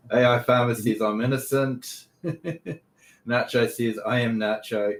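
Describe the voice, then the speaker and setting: A man's voice: medium pitch, fairly even intonation, echoey room. A man casually chatting on a live game stream, reading chat aloud with lots of ums. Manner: unhurried, reads chat aloud, frequent ums.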